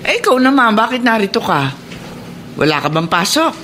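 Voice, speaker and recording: Radio drama grandmother: elderly Filipino woman, matronly, animated intonation, slight noise behind. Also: fairly high pitch, normal speed. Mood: inquisitive. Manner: matronly, questioning, advising. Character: plainspoken, kindly elderly grandmother, gently admonishing.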